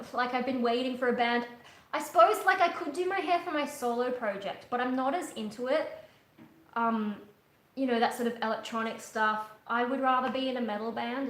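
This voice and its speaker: A woman's voice: a little high, a bit bright, roomy sound. A young woman chatting casually on a livestream from her living room couch, rambling with many ums and restarts. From Australia. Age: young adult. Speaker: livestream host, metal singer. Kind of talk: casual live chat. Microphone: webcam at a distance.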